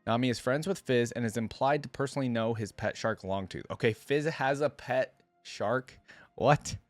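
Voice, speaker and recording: A man's voice: mid-range voice, normal speed, no echo. A man doing casual reaction commentary, thinking aloud in laid-back, slangy language. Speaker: reaction video creator and commentator.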